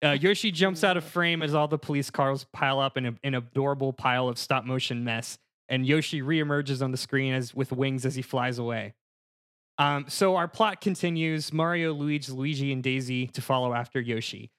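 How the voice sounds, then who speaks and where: A man on a casual panel show pitching a comedic movie scene by scene, in a halting, mock-formal narrating manner. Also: a bit bright, dry recording, clean studio sound.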